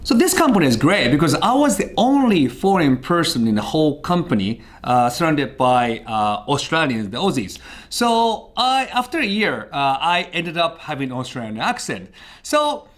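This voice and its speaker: A man's voice: a little high, sing-song, moderate pace. A Japanese man in his thirties, a native Japanese speaker with a light British-tinged accent, telling his life story to camera in a chatty way with frequent fillers. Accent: Japanese speaker of English with British traces. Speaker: vlogger. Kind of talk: casual personal storytelling to camera.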